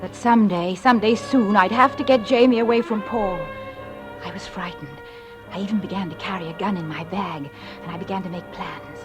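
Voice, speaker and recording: A woman's voice: mid-range voice, close to an everyday voice. A woman playing an anxious, fearful young mother in 1940s radio drama, with an earnest, tremulous, dramatic delivery on an old recording. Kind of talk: 1940s radio drama acting, confiding narration.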